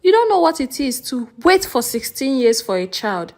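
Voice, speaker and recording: A woman's voice: high-pitched, very animated, moderate pace, a little mellow. A woman voicing a proud, doting and combative village mother in a folktale, scolding and insistent. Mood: defiant scolding.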